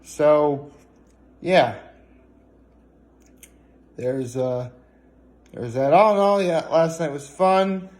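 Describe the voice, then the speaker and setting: A man chatting casually in a solo vlog, rambling and halting as he often corrects himself. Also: fairly high pitch, steady tone, a little mellow. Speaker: vlogger. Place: indoors at home, on a couch.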